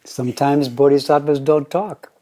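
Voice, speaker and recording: An older American man giving a Zen dharma talk, playful and deliberate, often slowing down and repeating his phrases. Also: fairly high pitch, steady tone, mellow, a little hiss or hum. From United States. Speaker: Zen teacher.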